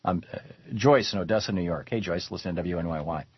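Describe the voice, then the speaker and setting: An older man hosting a call-in radio talk show, wry and quick to laugh, with stammering restarts. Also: low-pitched, husky, no echo. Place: radio studio. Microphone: studio mic. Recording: dull band-limited radio audio.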